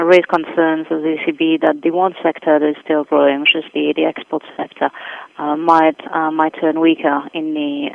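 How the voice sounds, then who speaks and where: A woman's voice: low voice, creaky, no room sound. A woman speaking by telephone as an economic expert, giving spontaneous commentary in a hesitant way, repeating words as she reasons. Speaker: senior economic advisor, interview guest. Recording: dull, muffled phone line.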